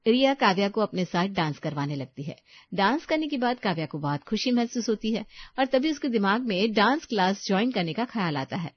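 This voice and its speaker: A woman's voice: medium pitch, sing-song, brisk, dry recording, dull audio. A woman reading scripted narration for a bedtime story.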